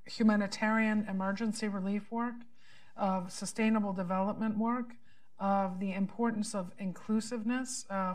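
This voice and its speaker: A woman moderating a think-tank panel, thanking the speaker formally and opening the floor, with frequent hesitations. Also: medium pitch. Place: conference room.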